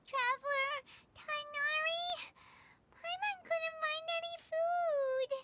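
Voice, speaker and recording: A woman's voice: steady tone, slow, bright, forceful tone, slight background noise, exaggerated voice. A woman voicing a small, cheerful, childlike fairy companion in a video game, speaking of herself in the third person. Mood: whiny. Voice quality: squeaky, childlike. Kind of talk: animated video game voice acting. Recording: game music behind the voice.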